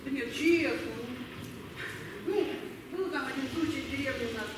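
A woman's voice: high-pitched, normal speed, natural voice. A woman playing a naive, cheeky young village girl soldier, chatty and plainspoken, in an amateur war radio play. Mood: wistful, artless. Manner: artless, chatty, colloquial. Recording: echoey far-off stage recording, noisy.

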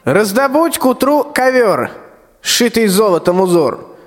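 An actor playing a pompous, threatening old tsar in a rhymed fairy-tale radio play. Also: male voice, high-pitched, big pitch swings, measured pace. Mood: imperious, threatening. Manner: pompous, colloquial rhymed speech. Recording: long hall reverb, music behind the voice.

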